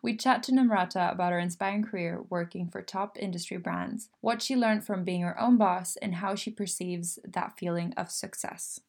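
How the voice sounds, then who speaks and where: A woman co-hosting a podcast, reading a scripted intro in an even way. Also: fairly low pitch, moderate pace, gravelly, few pauses. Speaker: podcast co-host.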